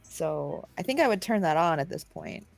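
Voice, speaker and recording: Woman gamer, slightly low voice. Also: very animated, normal speed, creaky, no room sound. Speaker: tabletop game player. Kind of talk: casual game chat.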